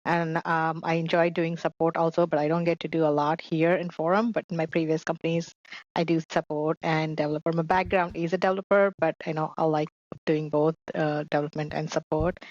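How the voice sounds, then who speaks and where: A woman's voice: low-pitched, level tone, brisk, a little mellow, no echo. Woman guest on dull, dry call audio. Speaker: guest, software developer. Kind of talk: casual interview self-introduction.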